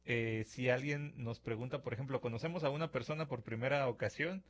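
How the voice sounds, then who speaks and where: A man's voice: mid-range voice, a little fast. Mexican man hosting a reflective monologue. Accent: Mexican Spanish. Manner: conversational, acts out imagined dialogues with filler words. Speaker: radio-style podcast host. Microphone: studio microphone.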